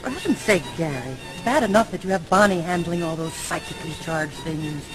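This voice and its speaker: A woman's voice: fairly low pitch, animated, fairly quick, close to an everyday voice. An actress playing a kindly but firm older woman in a video game, genteel and motherly in manner. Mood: disapproving. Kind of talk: video game dialogue acting. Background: music.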